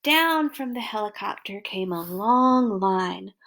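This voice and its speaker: A woman storyteller reading a children's story aloud, expressively, with deliberate dramatic emphasis. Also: mid-range voice, animated, a bit bright.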